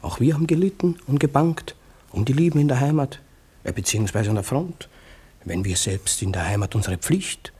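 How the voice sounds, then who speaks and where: Pompous, husky sanctimonious man. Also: mid-range voice, animated, fairly quick, mellow. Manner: pompous, unctuous, declamatory. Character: pompous, sanctimonious petty-bourgeois eavesdropper, meddling apologist. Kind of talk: German radio play acting.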